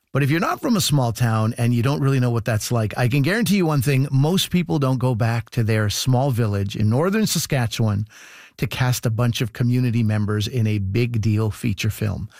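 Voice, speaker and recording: A male radio host delivers a scripted introduction in a conversational manner, addressing the listener directly. His voice sits at an ordinary pitch for a man and is recorded very dry, with no room sound. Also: gravelly, darkish tone, dry recording.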